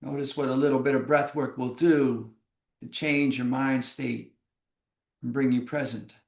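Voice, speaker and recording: A man leading a yoga class through breathing exercises, giving slow, calm, measured cues. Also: mid-range voice, vocal fry, echoey room. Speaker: yoga instructor. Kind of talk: guided breathing instruction.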